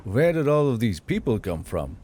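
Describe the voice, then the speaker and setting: A man's voice: mid-range voice, big pitch swings, normal speed, slight background noise, natural voice. A man voicing a curious, concerned soldier in a fantasy audiobook, earnest and questioning. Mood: surprised, curious. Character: curious, concerned male soldier, loyal comrade of the commander. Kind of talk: audiobook character voice. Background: soft background music.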